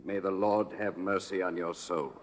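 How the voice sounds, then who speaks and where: An actor playing a stern judge, speaking gravely and formally in 1940s radio drama style. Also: male voice, low-pitched, steady tone, moderate pace, very noisy, lightly acted. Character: solemn, stern English judge passing sentence. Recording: echoey courtroom effect, vintage radio recording.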